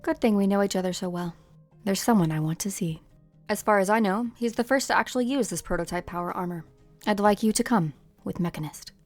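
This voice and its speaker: A woman playing a composed scientist who explains things in a measured way, in an amateur game fan dub. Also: medium pitch, a little mellow, faint music behind, close to an everyday voice. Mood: calm, matter-of-fact. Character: composed female scientist with mineral powers, coolly explanatory.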